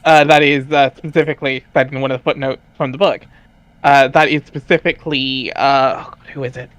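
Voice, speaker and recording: A man's voice: mid-range voice, fairly even intonation, fairly quick, fairly bright tone, no echo, highs cut off. A man chatting casually as he explains background details to a group, often hesitant and searching for words. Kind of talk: casual chat, commentary.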